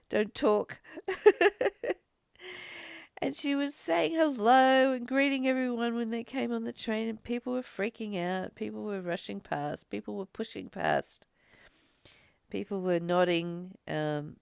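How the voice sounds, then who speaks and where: A woman's voice: medium pitch, creaky, clean tone, no echo, clean studio sound. A woman giving a reflective, conversational spiritual talk, stressing points through repetition. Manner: expressive, conversational, emphatic repetition. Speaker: spiritual study host.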